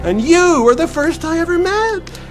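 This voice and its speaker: An actor playing a timid, guilt-ridden fawn in a radio drama, faltering and plaintive. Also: male voice, very high voice, very animated, moderate pace, music in the background. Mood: anguished. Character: tearful, guilt-ridden male fawn, timid and self-reproaching.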